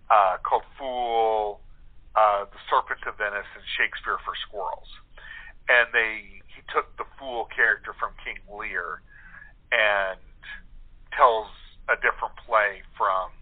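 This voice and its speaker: A man talking casually as an interview guest, a playwright and actor. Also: fairly low pitch. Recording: thin telephone-line sound.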